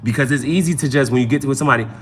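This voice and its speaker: A man's voice: mid-range voice, very fast, a little hiss or hum. A man hosting a casual live tarot reading, giving advice conversationally and often repeating his phrases. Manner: conversational, repeats phrases and adds "you know what I'm saying".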